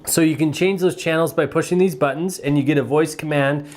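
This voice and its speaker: A man's voice: on the high side, steady tone, fairly quick. A man giving a casual, hands-on product review in a plain-spoken, explanatory way.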